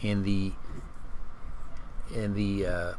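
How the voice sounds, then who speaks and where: A man hosting a video gaming channel, casually presenting and reading aloud in a halting, hesitant way. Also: low-pitched, level tone, darkish tone. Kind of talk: casual vlog intro and reading aloud from a book.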